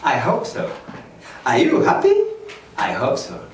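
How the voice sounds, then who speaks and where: A man with a Rwandan accent teaching English in a slow, careful way, switching into Kinyarwanda to explain. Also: medium pitch, a little hiss or hum. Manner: slow, careful explaining, lively rising questions. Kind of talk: bilingual language lesson. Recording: echoey room, mic at a distance.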